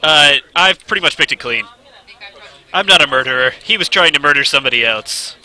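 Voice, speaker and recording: A man giving live commentary while playing a game, excitable and talking to himself, with a slight cold and sniffling. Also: on the high side, brisk, low-quality recording. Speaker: game streamer. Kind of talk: live let's play commentary. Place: game studio event.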